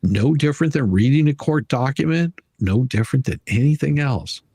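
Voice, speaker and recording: A man hosting a live stream, giving emphatic, argumentative commentary with a husky voice and reading passages from a document aloud. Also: medium pitch, lively intonation, normal speed, mellow, no room sound, studio quality.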